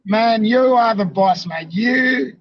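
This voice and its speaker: A man's voice: sits high, slow pace. A man chatting on a livestream panel, emphatic and repetitive, swearing freely. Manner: emphatic, repeats phrases, swears. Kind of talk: casual chat. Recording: dull lo-fi call audio.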